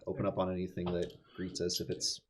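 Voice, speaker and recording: A man's voice: deep voice, completely flat delivery, fast, background noise. A man playing a tabletop game, talking casually and partly in character. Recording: loud group chatter behind voice.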